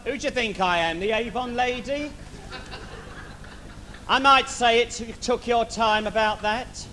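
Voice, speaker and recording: An actor playing a camp, bawdy, grumbling genie of the lamp in an adult stage pantomime, with a theatrical, chatty manner. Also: male voice, very high-pitched, unhurried, pushed and bright, full character voice. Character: camp, bawdy, self-styled butch male genie of the lamp. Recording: live theatre stage, background noise.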